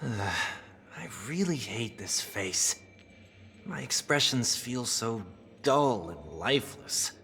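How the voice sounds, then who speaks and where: An actor playing a melancholic, refined young man in a game cutscene, speaking in measured, poetic, wistful phrases. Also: medium pitch, very animated, fairly bright tone, a little hiss or hum, exaggerated voice. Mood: weary, resolute. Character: melancholic young male fallen idealist, refined and soft-spoken. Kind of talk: dramatic video game cutscene acting. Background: music.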